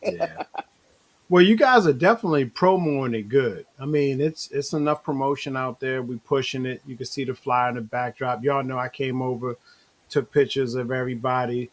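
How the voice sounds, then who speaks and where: A man's voice: medium pitch, normal speed. A man hosting an interview in a casual, informal and affirming way. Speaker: interview host. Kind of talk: casual chat.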